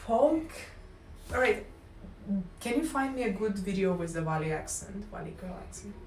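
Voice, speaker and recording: A woman's voice: medium pitch, sing-song, normal speed, a little mellow, a little hiss or hum. A woman streamer with a Russian accent, a native Russian speaker, chatting playfully and casually with viewers. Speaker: livestreamer. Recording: roomy, distant mic.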